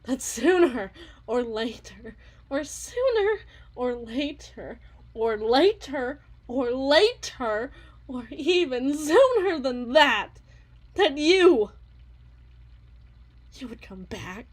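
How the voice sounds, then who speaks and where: A woman playing an obsessive, unhinged yandere wife in an ASMR roleplay monologue, theatrical and halting, with eerie, menacing tenderness and a breathy voice. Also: sits high, sing-song, full character voice. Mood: feverish, insistent. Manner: theatrical, halting, drawn-out repetitions, swinging from hushed to intense.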